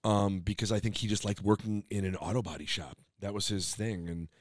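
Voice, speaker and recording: A man guesting on a podcast, a comedian telling rambling stories with a loud voice and lots of restarts. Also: fairly low pitch, steady tone, brisk, no echo. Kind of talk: casual interview chat.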